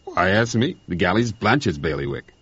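A man's voice: deep voice, sing-song, normal speed, fairly bright tone, breathy edge, no room sound, lo-fi sound, exaggerated voice. A man voicing a baffled spaceship radio technician, puzzled and matter-of-fact.

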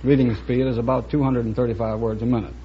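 An American man speaks as a quiz contestant, giving careful and precise answers. His manner is dry and his pitch is average. The vintage broadcast sound is dull and noisy. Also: little pitch movement, quick pace. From Virginia, United States. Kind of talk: answering interview questions.

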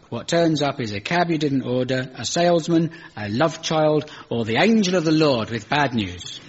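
Nasal, wry man, lively pitch. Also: lo-fi sound, a little hiss or hum. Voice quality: nasal, mumbling. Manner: wry, sardonic. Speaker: comedy show host. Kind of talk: scripted comic monologue.

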